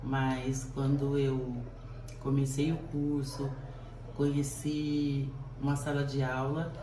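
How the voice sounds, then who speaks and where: A man who teaches language and literature, calmly recalling his studies in a documentary interview. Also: medium pitch, slow pace, noisy background. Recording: roomy and distant from the mic.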